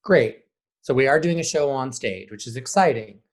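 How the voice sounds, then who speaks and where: American male, mid-pitched voice, podcast guest, conversational, animated explainer, slips into imagined dialogue. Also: normal speed. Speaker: high school theater program director and guest.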